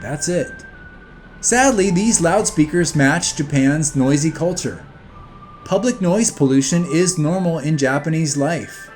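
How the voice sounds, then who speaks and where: Higher-voiced man, blunt opinion narration. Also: lively intonation, a little slow, darkish tone, faint music behind. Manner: blunt, emphatic, scornful. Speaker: video narrator.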